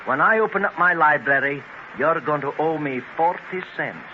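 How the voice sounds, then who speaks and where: A man's voice: a little high, normal speed, lightly acted. An actor playing an earnest, ambitious young man in a 1930s radio drama. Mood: earnest, confident. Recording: muffled, noisy 1930s radio transcription.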